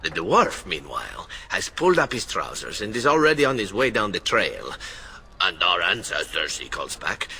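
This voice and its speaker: Animated, playful roguish adventurer. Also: male voice, low voice, normal speed, creaky, a little hiss or hum, exaggerated voice. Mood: sly amusement. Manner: showy, theatrical storytelling. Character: playful, roguish male adventurer telling tales. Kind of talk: fantasy video game voice acting.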